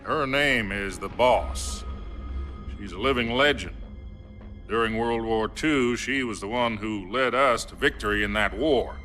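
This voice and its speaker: An actor playing a sober, formal American president in a cinematic video game, measured and diplomatic. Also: male voice, fairly low pitch, pushed and bright, faint music behind. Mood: sober, regretful.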